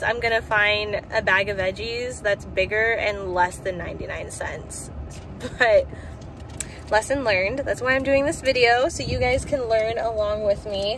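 A young American woman chatting casually to viewers in an informal, think-out-loud way, recorded on a camera at arm's length in a car. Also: a little high, rough recording. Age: young adult. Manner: informal, mildly profane, thinking aloud. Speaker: fitness and weight loss vlogger. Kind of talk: casual vlog chat to viewers. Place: parked car. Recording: clear background noise, car interior.

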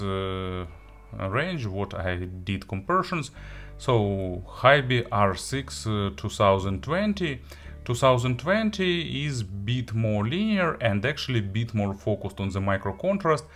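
A man who is a non-native English speaker, giving an unscripted product review in a halting, hesitant way with frequent pauses. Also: lowish voice, lively intonation. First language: not English. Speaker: audio gear reviewer.

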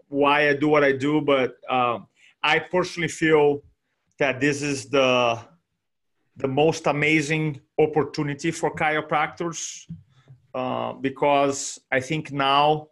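A man in his forties with a Brazilian Portuguese accent, speaking on a video call in an energetic, animated way and repeating phrases for emphasis. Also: on the high side. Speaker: guest speaker. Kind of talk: energetic motivational talk. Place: small room at home. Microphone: desk microphone on video call.

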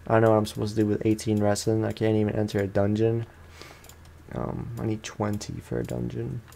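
A man's voice: lowish voice, completely flat delivery, brisk, vocal fry, mellow, slight background noise. A man giving casual, rambling, slangy commentary as he plays a video game for his viewers. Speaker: gaming streamer. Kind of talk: casual let's play commentary.

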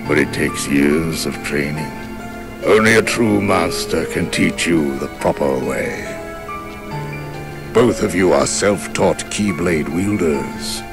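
Very deep, slow old wizard master. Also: male voice, heavily acted. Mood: stern. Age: older. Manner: grave, formal, deliberate. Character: stern, solemn old wizard master instructing apprentices. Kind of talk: video game cutscene acting, expository.

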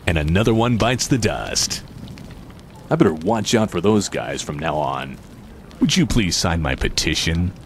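An actor playing a cynical, sociopathic slacker antihero in a video game, with sarcastic, deadpan wisecracks in a gravelly voice. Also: male voice, unhurried, slight background noise. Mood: impatient, sarcastic. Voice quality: gravelly, low. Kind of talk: video game one-liners.

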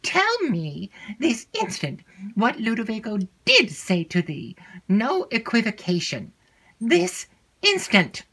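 A woman's voice: mid-range voice, fairly even intonation, slow, a bit bright, pitched above the performer's own voice. A woman voicing a stern, impatient noblewoman who gives orders to her maid. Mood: indignant. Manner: stern, commanding. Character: stern, imperious older noblewoman, impatient mistress.

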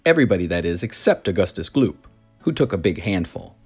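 An expressive narrator reads a children's story aloud. The delivery is dramatic, with lively swings in pitch, and music plays underneath. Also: a little fast, slightly rough.

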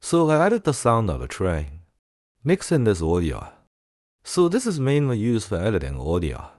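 A man presenting a software tutorial in a casual way. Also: fairly low pitch, huge pitch swings, normal speed, dry recording, clean studio sound.

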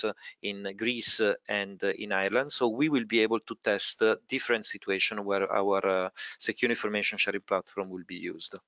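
A man presenting a research project in a webinar, speaking hesitantly and haltingly with repeated words. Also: bright, forceful tone, dry recording. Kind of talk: webinar presentation over slides. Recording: dull, muffled low-fidelity webinar audio.

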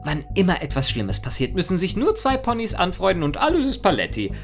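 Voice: medium pitch, huge pitch swings, fairly quick, soft background music. Swooping, sardonic immortal trickster creature, acted out. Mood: gleeful mockery. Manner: mock-formal, sardonic, swooping, playfully rambling. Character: immortal whimsical chaos creature, smug, mischievous, lonely. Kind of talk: theatrical first-person character monologue in a fan audio story.

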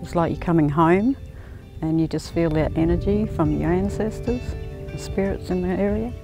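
A woman's voice: lowish voice, normal speed. An older woman with an Australian accent speaking reflectively and unscripted in an interview on location. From Western Australia. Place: outdoors in dry bushland. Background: faint music.

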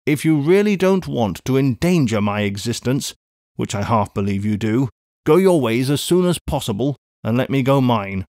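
A man's voice: animated, normal speed, darkish tone, no room sound, studio quality, natural voice. Man playing a vexed, furtive, abrupt Englishman. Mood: impatient, irritable. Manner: abrupt, low-toned, impatient. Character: vexed, furtive Englishman, irritable unaffectionate brother. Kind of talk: audiobook character voice.

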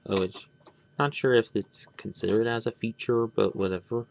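Male hobby reviewer, deep and gravelly, halting unscripted delivery that wanders and trails off. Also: darkish tone, a little hiss or hum. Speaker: toy reviewer. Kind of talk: unscripted hands-on product review. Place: indoors at a tabletop.

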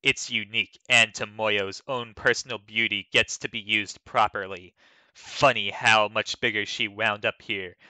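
A man reads written text aloud as if on stage, in a slightly low voice with a very bright, crisp edge. His delivery is slow, measured and dramatic, and the recording is dry and close. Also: no echo, rough recording. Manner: theatrical, deliberate, measured delivery. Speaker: dramatic reader of an online comment. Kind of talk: dramatic scripted reading.

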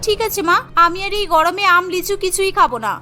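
A woman's voice: very high voice, steady tone, a little fast, sharp tone, faint music behind. A woman voicing an earnest, dutiful young daughter-in-law in a cartoon, polite but aggrieved, with a pleading manner. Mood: obliging. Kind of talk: cartoon voice acting.

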